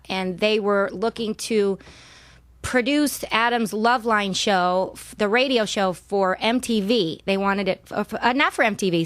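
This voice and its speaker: A woman's voice: medium pitch, dry recording. Middle-aged woman guest, chatty storyteller, close and dry. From Southern California. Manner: warm, laughing often, rambling storytelling. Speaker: podcast guest. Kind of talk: casual interview chat.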